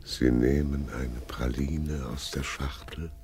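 An actor playing a deranged, vain theatre director and hypnotist in a radio crime drama, with a commanding, theatrical delivery. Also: male voice, rumbling low, steady tone, slow pace, mellow, slight background noise, heavily acted. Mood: hypnotic, commanding.

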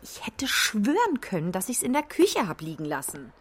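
A woman's voice: mid-range voice, huge pitch swings, moderate pace, breathy edge. A woman playing a harried, swearing but affectionate mother in a colloquial, naturalistic radio play style.

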